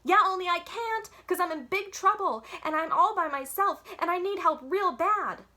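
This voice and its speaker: A woman's voice: very high-pitched, moderate pace, pushed and bright, pitched above the performer's own voice. A woman voicing a dramatic, stubborn little girl with childish grammar in a children's storybook read-aloud. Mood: panicked.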